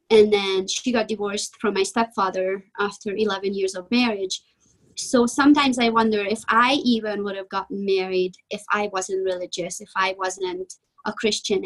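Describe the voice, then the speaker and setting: A woman's voice: medium pitch, poor audio. A young adult woman from Hungary, speaking with a Hungarian accent as a podcast guest, candid and reflective, often hedging with "I feel like". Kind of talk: podcast interview.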